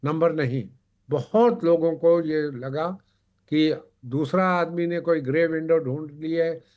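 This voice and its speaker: Slow, halting man on a TV panel. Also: fairly high pitch, dull audio. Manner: halting, repeats and rephrases words. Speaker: panel guest on a consumer affairs TV show. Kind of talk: TV panel discussion, explanatory.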